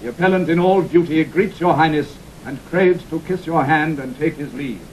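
Guy doing a medieval marshal, high voice, very ceremonial proclaiming, shakespeare audio play. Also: normal speed, a little mellow, natural voice. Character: formal medieval Lord Marshal proclaiming at lists. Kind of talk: classical Shakespearean audio drama acting. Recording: noisy 1960 audio drama recording.

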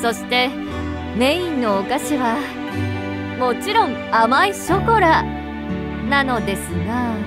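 Game role: refined crane-spirit seamstress, high-pitched, gushing and courteous, loud music underneath. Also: female voice, big pitch swings, slow pace, long pauses, exaggerated voice. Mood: polite, fond. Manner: polite, theatrical, fangirlish, breathless outbursts. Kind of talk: Japanese game voice acting. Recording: background music under clean studio voice.

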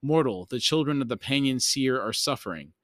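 An ancient, cryptic god read by a man speaks in measured, grave fantasy storybook phrases. The voice sits at middle pitch in a dry, close recording. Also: animated, fairly bright tone, dry recording, close to an everyday voice. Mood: solemn. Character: ancient elder god, solemn, cryptic, male.

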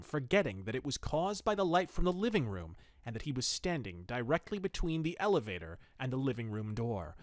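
A man with a mid-pitched voice presents a podcast about pulp fiction. He reads stories aloud and reviews them. The sound is dry, with very little room around the voice. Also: a bit bright, dry recording. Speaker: podcast host.

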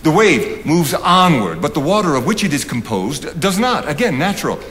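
An older actor playing an assured, provocative philosopher, eloquent and rhetorical in theatrical stage style. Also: male voice, on the high side, sing-song, moderate pace, a bit bright. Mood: wry. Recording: reverberant theatre hall, background hiss.

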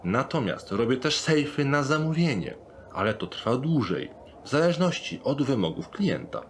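A man plays a courteous locksmith shopkeeper in a dark fantasy audio drama. His pitch is middling and swings in a lively way as he politely pushes his wares. A faint bed of noisy ambience sits behind him. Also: normal speed, natural voice. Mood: obliging. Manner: courteous, businesslike, eager to please. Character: polite, sales-minded male locksmith shopkeeper.

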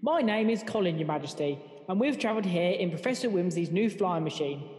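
A man's voice: high voice, animated, brisk, darkish tone. A man playing a cheeky, nervous young hero in an amateur radio pantomime, in an echoey hall.

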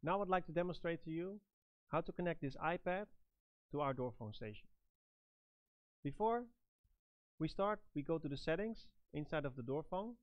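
A middle-aged man giving step-by-step product instructions in a halting, phrase-by-phrase manner. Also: fairly high pitch, lively intonation, no room sound, lo-fi sound. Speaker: product trainer and presenter.

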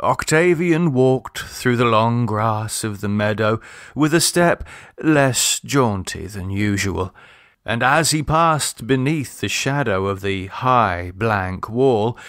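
Male audiobook reader, unhurried pace, expressive literary narration, dry close sound. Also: mid-range voice, no room sound. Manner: measured, expressive storytelling. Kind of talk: scripted literary story narration.

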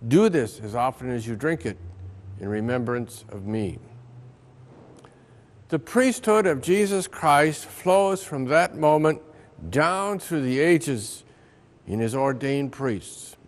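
An older American man reads a religious reflection as a priest would in church. His delivery is slow and solemn, with each phrase measured out at a middling pitch. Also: lively intonation. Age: older, around 70. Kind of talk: scripted religious reflection, reading aloud. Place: large ornate church interior.

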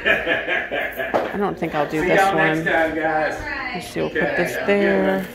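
A woman's voice: lowish voice, takes it slowly, a loud crowd. A woman vlogger giving casual commentary as she shops, half murmuring to herself while browsing. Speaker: vlogger, antiques reseller. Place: house and garage at estate sales. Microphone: handheld phone or camera.

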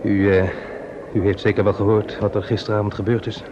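An actor with a Netherlands Dutch accent playing a sociable, wry gentleman in an old radio play, chatty and casual. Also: fairly low pitch, flat delivery, a little fast, background noise, lightly acted. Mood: disbelieving. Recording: muffled old radio recording, music behind.

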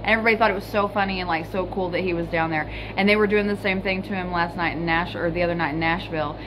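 A woman's voice: on the low side, steady tone, racing. A woman in her thirties, vlogging in casual chat from a small hotel room into a phone held at arm's length, telling stories and swearing casually. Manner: chatty storyteller, swears casually. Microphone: phone camera held at arm's length. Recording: noisy hotel room ambience.

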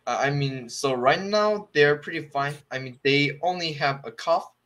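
A man's voice: on the high side, normal speed, fairly bright tone. Hesitant young Korean man learning English. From South Korea. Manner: hesitant, searching for words, many fillers. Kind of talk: casual video-call conversation. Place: small room at home, indoors. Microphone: video call.

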